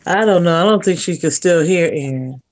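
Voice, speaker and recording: A woman's voice: fairly low pitch, fairly quick, no echo. A woman on a casual group call, relaying and explaining what others say. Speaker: guest on the call. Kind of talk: casual chat.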